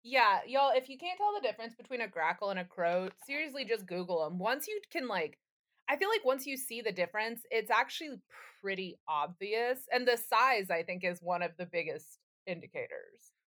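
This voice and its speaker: A woman's voice: medium pitch, very animated, normal speed, a bit bright. A woman co-hosting a podcast in casual, sweary chat, with a wry, self-deprecating and playfully dramatic manner. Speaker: podcast co-host.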